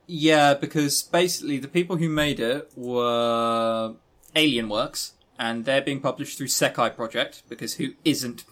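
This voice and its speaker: British man, high-pitched animated podcast co-host. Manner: sarcastic, teasing. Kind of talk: casual chat about games.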